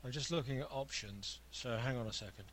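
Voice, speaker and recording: A soft-voiced male guest in a casual chat who is wry and self-mocking. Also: medium pitch, a little fast.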